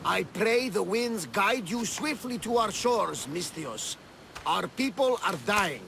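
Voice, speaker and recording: Older captain, bright gravelly voice, excitable, theatrical storyteller, video game cutscene, faint background noise. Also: male voice, high-pitched, slow, heavily acted. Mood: theatrical reading.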